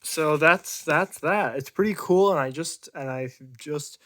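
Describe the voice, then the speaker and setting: Man talking casually over loud radio noise. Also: fairly high pitch. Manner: informal, halting, thinking aloud. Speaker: radio hobbyist demonstrating a homemade setup. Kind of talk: casual hands-on demonstration. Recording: noisy room with loud radio static. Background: shortwave radio noise and signals.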